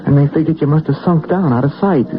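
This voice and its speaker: A man's voice: on the high side, quick pace, lightly acted. A man plays a folksy, curious American fellow in an old radio drama. He speaks plainly in short, puzzled questions. The recording is a little dull, with music behind him. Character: plain-spoken, curious male American listener.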